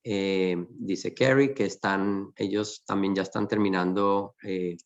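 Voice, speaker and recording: A man hosting a conversational webinar, explaining things in halting, repeated phrases. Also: lowish voice, steady tone, dull audio. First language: Spanish. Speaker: host.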